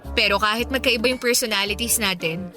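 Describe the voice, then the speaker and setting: A woman's voice: medium pitch, brisk, over music, close to an everyday voice. A woman acting a spendthrift, playful young girlfriend who gets testy when questioned, in a Filipino radio drama with a Filipino accent. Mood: teasing, affectionate.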